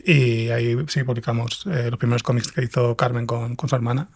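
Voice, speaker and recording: A man from Spain with a Spanish accent and a husky, gravelly voice, chatting casually as an interview guest and telling anecdotes. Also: medium pitch, flat delivery, brisk, darkish tone. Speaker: podcast guest, fiction writer and comics editor. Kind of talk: casual interview answers, storytelling.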